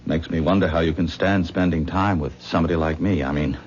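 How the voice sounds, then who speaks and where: A man's voice: low voice, steady tone, a little fast, darkish tone, lightly acted. An actor playing a modest, earnest man who talks himself down, in a 1970s radio drama. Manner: self-deprecating, earnest. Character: modest, admiring kind man, new friend. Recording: dull old radio broadcast recording with faint hiss.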